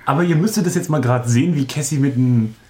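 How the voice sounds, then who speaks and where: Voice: mid-range voice, fast talker, over music. A speaker bantering casually and teasingly among friends. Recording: echoey room.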